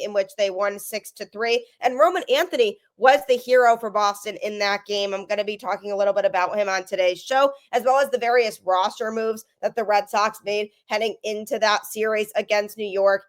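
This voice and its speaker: A young woman hosting a sports podcast, talking energetically with a stuffy voice from a cold. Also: mid-range voice. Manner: energetic, emphatic. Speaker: podcast host.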